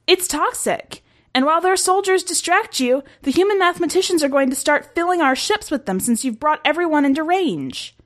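A woman voicing a meek, sly blob alien soldier with a squeaky, plaintive delivery in a sci-fi story. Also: lively intonation, a little fast. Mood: squeaky explaining.

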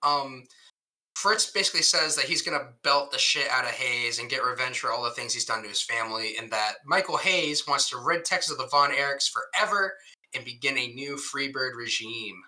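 Bright-voiced, laughing podcast guy. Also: medium pitch, normal speed, poor audio. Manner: laughs often, swears freely, animated recapping. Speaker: podcast co-host. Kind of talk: casual chat.

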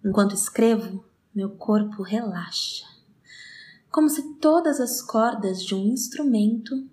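Audio drama monologue: actress as solitary, meticulous, obsessive woman, wryly enthusiastic, medium pitch, expressive and confiding. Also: a little slow, natural voice. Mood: precise, contented.

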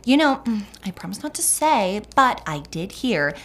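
Sugary, swooping Puritan gossip. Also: female voice, medium pitch, very animated, measured pace, a bit bright. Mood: gossipy, sugary. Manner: sugary, sanctimonious, theatrical. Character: pious gossipy Puritan woman leading a sewing circle. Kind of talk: comedic audio drama acting.

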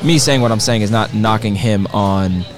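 A man's voice: on the low side, over music. A man co-hosting a casual football podcast, talking emphatically and repeating himself as he makes his point. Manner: quick, emphatic, repeats words. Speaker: podcast co-host. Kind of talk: casual sports chat.

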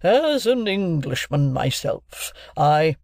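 A man voicing a flustered, pompous Englishman who answers slowly and hesitantly in a novel reading. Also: a little high, big pitch swings, a little mellow, dry recording, studio quality, voice pushed up, exaggerated voice. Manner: slow, hesitant, pleading. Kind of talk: classic novel narration, character voice.

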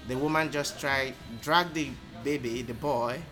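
A man's voice: mid-range voice, steady tone, over music. Thoughtful man telling his story. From Nigeria. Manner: thoughtful, measured, with frequent um pauses. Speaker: interview guest. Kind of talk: casual conversational storytelling.

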